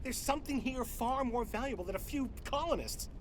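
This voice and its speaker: An actor playing an agitated, ruthless corporate official in a video game, stammering and jumpy. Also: male voice, very high-pitched, animated, brisk, a little hiss or hum. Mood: menacing, tense. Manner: jittery, stammering, shouting. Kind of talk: dramatic video game acting.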